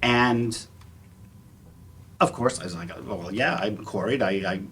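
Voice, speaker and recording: A man speaking as a theater panelist, sharing personal anecdotes in a halting way, often repeating words. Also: on the low side, a bit bright. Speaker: panelist, community theater actor. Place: public panel event, live audience. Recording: clear background room noise.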